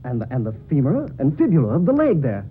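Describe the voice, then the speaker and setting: A man's voice: mid-range voice, huge pitch swings, a little fast, slightly rough, phone-quality sound. An actor playing a confident, educated male investigator in an old-time radio drama, articulate and theatrical. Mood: insistent. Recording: thin, noisy old radio recording.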